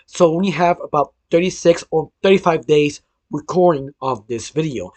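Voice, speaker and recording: A man with a hoarse voice from illness, hosting a casual cartoon news roundup, rambling with lots of filler phrases and restarts. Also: a little high, dry recording. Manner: rambling, heavy use of "sort of", frequent restarts. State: hoarse, losing his voice from illness. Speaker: cartoon news channel host.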